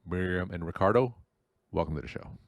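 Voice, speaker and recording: Low voiced guy hosting an interview podcast, really dry close recording. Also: brisk, no room sound. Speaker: podcast host. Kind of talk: scripted intro and interview questions.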